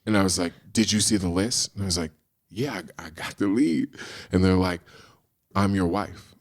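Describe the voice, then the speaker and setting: Low-voiced man telling lively stories. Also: normal speed. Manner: animated storyteller, acts out quoted dialogue. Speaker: guest, screenwriter and actor. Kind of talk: casual storytelling in a podcast interview.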